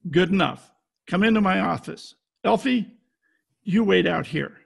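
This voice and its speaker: A man's voice: high-pitched, lively intonation, a little slow, creaky, slightly rough, heavily acted. A man playing a tough, wisecracking private detective in an old-time radio style, talking in clipped slang. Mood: brusque, cool. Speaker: amateur radio play actor. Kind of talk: hardboiled 1940s radio detective acting. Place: at home, recorded over video call.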